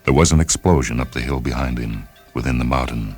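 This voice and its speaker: Super deep bass guy narrating a dramatic story, bit of music under him. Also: a little fast, breathy edge.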